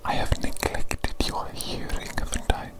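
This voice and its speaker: A woman whispering softly into a close microphone, repeating each phrase and gently teasing as an ASMR doctor roleplay. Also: unhurried. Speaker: ASMR creator. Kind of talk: ASMR roleplay of a medical exam.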